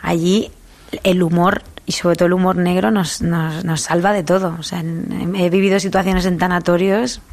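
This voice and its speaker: Woman, Manchego accent with Murcian traces, young adult, chatty, lots of fillers, somewhat low pitch. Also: level tone, normal speed, darkish tone. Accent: Manchego with Murcian touches. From La Mancha, Albacete, Spain. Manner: chatty, many restarts and fillers, laughs easily. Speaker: singer, interviewee. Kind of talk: casual interview chat.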